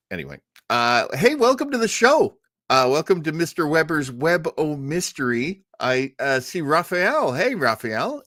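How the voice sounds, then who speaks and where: A man's voice: medium pitch, fairly bright tone, dry recording, studio quality. A man hosting a livestream in casual, rambling, enthusiastic conversation. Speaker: show host. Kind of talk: casual livestream chat introducing old radio shows.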